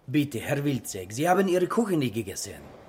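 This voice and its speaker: An actor playing a patient, polite sanatorium attendant who coaxes solicitously in a radio drama. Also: male voice, mid-range voice, sing-song, moderate pace, darkish tone, breathy edge. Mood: coaxing.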